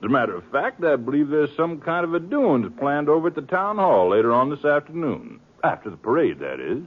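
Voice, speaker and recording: Thin old radio recording, Western sheriff, very animated intonation, folksy, skeptical, authoritative. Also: male voice, on the high side, a little fast, phone-quality sound. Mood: genial firm. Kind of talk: 1950s radio western acting.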